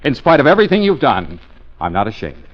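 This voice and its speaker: Glib, bossy radio detective, wildly varied intonation, vintage muffled sound. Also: male voice, medium pitch, huge pitch swings, quick pace. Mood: mock earnest. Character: breezy, fast-talking male private detective, smooth and teasing. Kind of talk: 1940s radio acting. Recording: dull vintage 1940s radio recording.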